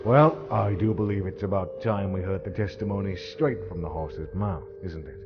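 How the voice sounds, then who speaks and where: Actor as male military judge, very low voice, sardonic, measured, audio drama, muffled. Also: music in the background. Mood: wry, amused. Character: wry, authoritative male military court judge. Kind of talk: fan audio drama acting.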